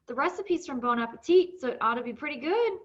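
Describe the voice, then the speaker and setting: A gentle, coaxing mother from a storybook , as a woman reader plays her, chatty and reassuring. The voice is pitched fairly high, on a slightly dull recording. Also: lively intonation, fast.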